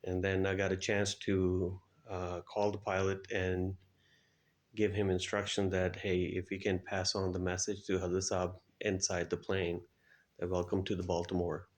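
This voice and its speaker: A middle-aged man answering interview questions and recounting his experiences in a halting way with frequent pauses. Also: low voice, monotone. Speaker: guest, air traffic controller.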